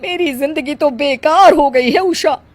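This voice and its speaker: A woman's voice: sits high, measured pace, no echo. A woman voicing a dramatic middle-aged housewife, a self-pitying, scheming mother-in-law with a whiny, theatrical manner, in a story.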